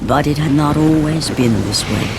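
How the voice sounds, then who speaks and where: A man's voice: a little high, normal speed, very noisy, close to an everyday voice. A man narrating a video game story in a grave, dramatic tone. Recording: loud music behind.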